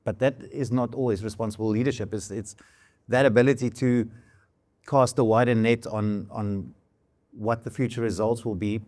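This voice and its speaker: A South African man whose first language is Afrikaans, a musician answering as a panel guest, thoughtful and halting with frequent restarts. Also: on the low side, steady tone, darkish tone. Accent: South African English. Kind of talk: reflective conversation.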